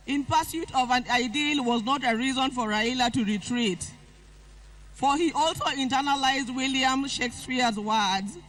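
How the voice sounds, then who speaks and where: A woman's voice: sits high, a bit bright, rough recording. An older woman with a Kenyan accent reading a formal eulogy aloud. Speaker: eulogist at a funeral.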